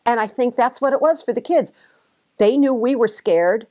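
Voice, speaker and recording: A woman's voice: on the high side, normal speed, warm tone. A woman in her early sixties giving a personal, reflective spoken recollection. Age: early 60s. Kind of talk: personal oral-history recollection.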